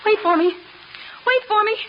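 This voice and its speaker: A woman playing a desperate, sharp-tongued young woman trapped underground in a 1930s radio horror play, impatient, insistent and scornful. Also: extremely high pitch, normal speed. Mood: panicked. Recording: muffled, hissy 1930s radio recording.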